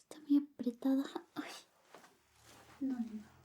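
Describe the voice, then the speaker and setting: Soft, very high-pitched woman doing ASMR. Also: fairly even intonation, unhurried, breathy edge. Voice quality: soft, often whispered. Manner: playful, apologetic friend roleplay. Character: affectionate, clumsy female best friend giving a shave. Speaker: ASMR roleplay performer. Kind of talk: ASMR personal attention roleplay.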